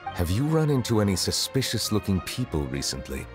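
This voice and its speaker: An actor playing a grave, protective male judge in a video game, speaking in a formal, measured, serious way. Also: fairly low pitch, big pitch swings, over music. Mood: grave, analytical. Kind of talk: video game character dialogue.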